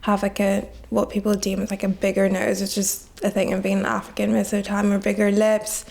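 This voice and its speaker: A woman's voice: mid-range voice, monotone, fairly quick, gravelly, a little mellow. A nineteen-year-old woman from Nigeria, reflecting in a casual interview and saying "like" a lot. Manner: heavy use of "like" as filler, reflective. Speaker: guest.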